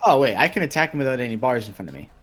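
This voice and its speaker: A man's voice: mid-range voice, expressive, racing, breathy edge, soft background music. A man chatting casually as a player in a tabletop roleplay game. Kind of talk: casual chat.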